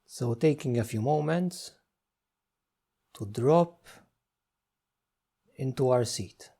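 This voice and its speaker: Mellow-voiced man guiding a meditation. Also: mid-range voice. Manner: gentle, unhurried instruction with frequent pauses. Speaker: meditation guide. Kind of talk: guided meditation.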